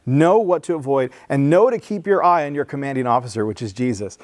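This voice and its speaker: A man's voice: medium pitch, a little fast, a little mellow. A man in his thirties, a pastor, preaching to his church in an earnest, conversational way, on a headset mic. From San Diego, USA. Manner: earnest, conversational preaching with restarts and rising emphasis. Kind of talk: sermon. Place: church stage with blue lighting.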